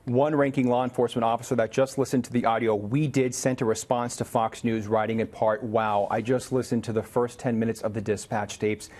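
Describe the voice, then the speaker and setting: A man's voice: medium pitch, level tone, fairly quick, hardly any pauses, darkish tone. A man with an American accent delivering a scripted news report as a correspondent.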